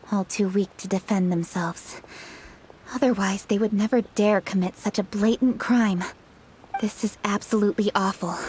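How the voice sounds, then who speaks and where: A woman voicing a composed, dutiful young noblewoman official in a video game, with a crisp, authoritative, courteous manner. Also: medium pitch, slight background noise, lightly acted. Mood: indignant.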